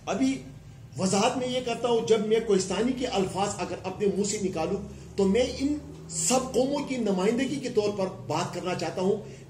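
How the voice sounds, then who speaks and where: Former councillor guy from pakistan at a press conference, high voice, emphatic, echoey room. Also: a little mellow, a little hiss or hum. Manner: emphatic, gesturing with raised hands, speaking in listed repetitions. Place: indoor room before a plain wall. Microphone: cluster of press microphones on a table.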